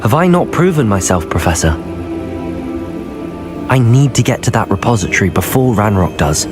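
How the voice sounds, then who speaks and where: An actor playing an earnest, determined young wizard student in a video game, polite in manner. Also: male voice, lowish voice, sing-song, moderate pace, music in the background. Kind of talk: video game dialogue acting.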